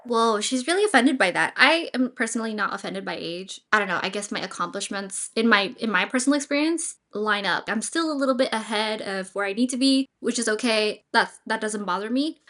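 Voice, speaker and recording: A woman's voice: medium pitch, expressive, fairly quick, hardly any pauses, fairly bright tone. A woman of thirty giving casual, opinionated commentary in a conversational way, as a host reacting to stories.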